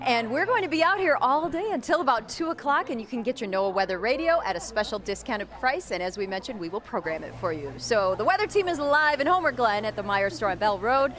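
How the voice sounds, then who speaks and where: A woman with a high voice reports live for television. She speaks in an on-location broadcast interview style, as a weather reporter. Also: expressive, brisk, few pauses, a bit bright.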